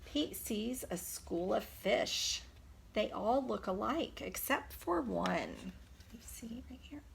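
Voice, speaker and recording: A woman's voice: medium pitch, expressive, slow pace, gravelly, slight background noise. A woman preschool teacher reading a picture book aloud, expressively, putting simple questions to young children. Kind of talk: children's storytime read-aloud.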